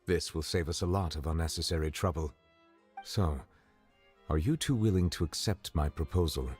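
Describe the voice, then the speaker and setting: Very deep, formal male official. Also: a little mellow, slightly rough, soft background music. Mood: composed, courteous. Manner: measured, formal, precise diction. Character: grave, formal male official of high rank, reserved and courteous. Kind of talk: video game voice acting.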